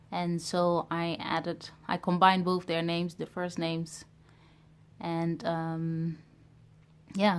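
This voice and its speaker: A woman's voice: fairly low pitch, smooth. A woman from Ghana in her thirties who grew up in Europe, talking to camera in a reflective, hesitant way about starting a business. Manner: reflective, hesitant, many pauses and fillers. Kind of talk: personal vlog talk to camera.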